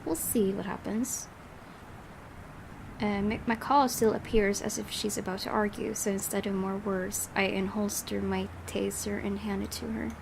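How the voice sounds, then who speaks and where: A woman streamer reads a game's story aloud and thinks out loud about it in a mid-range voice. A steady hiss of noise runs underneath the recording. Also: medium pitch, unhurried, gravelly. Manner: thinking aloud, puzzling over the plot. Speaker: game streamer. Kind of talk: Let's Play commentary and reading aloud.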